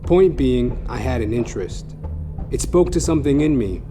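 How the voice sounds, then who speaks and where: A man's voice: medium pitch, animated, moderate pace. Theatrical male comic narrator over music. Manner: florid, mock-grandiose, crude. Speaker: comedy podcast host and narrator. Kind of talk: theatrical scripted comic monologue. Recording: music bed under the voice.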